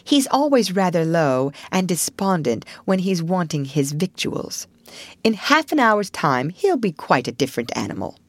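A woman's voice: fairly low pitch, very animated, moderate pace, dry recording. A woman voicing a good-natured, sensible male rat in a storybook, earnest and fussily proper as a scolding friend. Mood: hushed reassurance.

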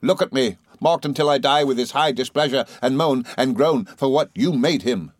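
A man voicing a bitter, scarred woman who hurls fierce accusations in a raised, high voice. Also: moderate pace, no room sound, studio quality, lightly acted. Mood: furious. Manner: shrill, accusing outbursts.

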